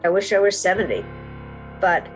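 A woman making a spontaneous personal remark in short, halting phrases. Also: medium pitch, lively intonation, rough recording. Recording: guitar music behind.

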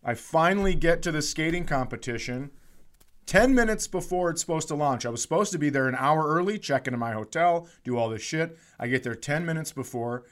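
A man's voice: a little high, lively intonation, a little fast, fairly bright tone. A middle-aged American man telling casual comedic stories as a podcast host, with a profane, exasperated ranting manner.

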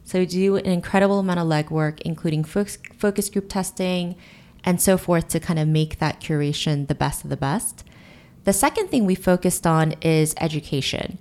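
A woman's voice: on the low side, moderate pace, dark tone. A woman in her thirties, a company founder, answering interview questions fluently and earnestly, with many "you know"s and "really"s. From United States and Korea. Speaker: guest, beauty company founder.

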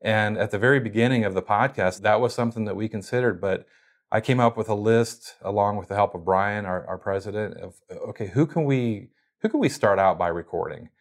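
A man's voice: on the low side, a little fast. A man being interviewed as a guest, explaining casually how he runs his company's podcast, with plenty of fillers and restarts.

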